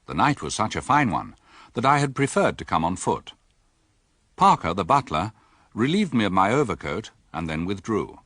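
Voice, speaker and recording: An actor playing a mild village doctor in a radio drama, speaking and narrating in a measured, matter-of-fact way. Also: male voice, fairly low pitch, big pitch swings, fairly bright tone, dry recording, studio quality, heavily acted. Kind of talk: radio drama dialogue and first-person narration.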